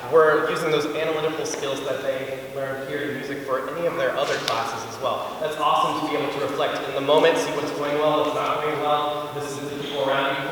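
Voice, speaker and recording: A male chorus teacher getting over a cold, proudly explaining his students' training to a concert audience. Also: a little high. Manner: enthusiastic, proud, demonstrates by singing. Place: school concert hall. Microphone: far from the mic. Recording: echoey hall from far off, faint hiss.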